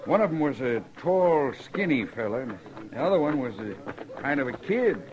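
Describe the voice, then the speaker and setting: An actor playing a rustic, indignant Old West stagecoach driver with plain folksy speech in a radio drama. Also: male voice, mid-range voice, big pitch swings, moderate pace, background noise, natural voice. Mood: matter-of-fact. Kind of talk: 1950s western radio drama acting. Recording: lo-fi old radio, loud crowd behind.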